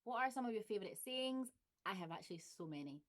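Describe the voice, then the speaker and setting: A woman's voice: mid-range voice, expressive, quick pace. A woman with a Glaswegian accent chatting casually on her channel, laughing often and playing with example phrases. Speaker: YouTuber. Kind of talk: casual vlog chat.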